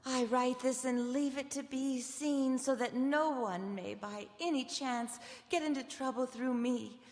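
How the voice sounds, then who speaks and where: Female, doomed young lady, high, slow, tremulous, breathless horror radio melodrama, echoing hall. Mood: terrified. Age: young adult. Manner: breathless, tremulous, drawn-out delivery. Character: frail, frightened young lady, tender and doomed. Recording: echoey hall, live stage broadcast.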